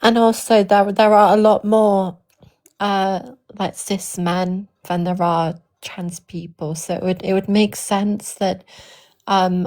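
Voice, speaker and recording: A woman speaks slowly in a lowish, flat voice as a guest on a livestream. She hesitates often, padding her points with fillers while hunting for the right word. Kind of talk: casual debate.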